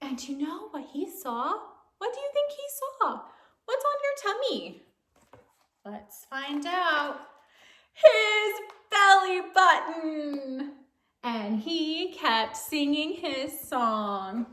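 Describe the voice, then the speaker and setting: Female children's read-aloud narrator, very unhurried, playful and exaggerated, sing-song delivery, recorded in an echoey room. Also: high-pitched. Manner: animated, playful, exaggerated exclamations and questions to young listeners. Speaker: storyteller reading a picture book to children.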